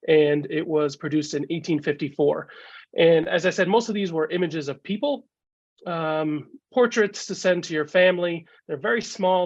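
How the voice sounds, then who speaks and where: A man with a cold and a gravelly voice giving a casual slideshow talk as a guest speaker, halting with many fillers, over a video call. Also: on the high side, no echo. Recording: dull lo-fi video call audio.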